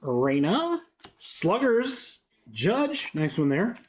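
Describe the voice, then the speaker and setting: A man's voice: high voice, huge pitch swings, takes it slowly. A congested young man with a head cold, chatting upbeat on a live stream while he rattles off cards. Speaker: card break streamer. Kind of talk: live-stream card break commentary.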